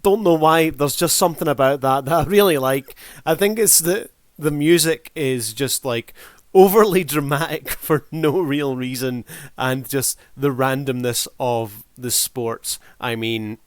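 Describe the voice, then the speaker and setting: A man's voice: mid-range voice, no echo. A man hosting a video review, talking casually off the cuff in a laid-back, wry way. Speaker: video reviewer and channel host.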